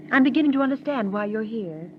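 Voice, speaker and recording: An actress playing a well-bred, expressive lady, a sleuth's companion, in a 1930s-style radio drama. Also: on the high side, fast, natural voice. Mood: dismayed. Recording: very noisy, muffled old radio recording.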